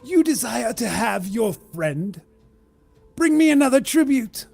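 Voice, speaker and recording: A man's voice: very high voice, very animated, measured pace, creaky, darkish tone, breathy edge, faint music behind, pitched above the performer's own voice, exaggerated voice. A man voicing an imperious cult leader with a pompous, formal way of speaking. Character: imperious holy cult leader demanding tribute.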